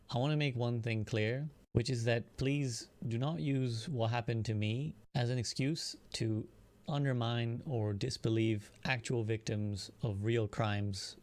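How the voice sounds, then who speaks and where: A man, an online streamer, giving a measured, prepared personal statement in earnest, articulate, formal wording. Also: mid-range voice, darkish tone, dry recording.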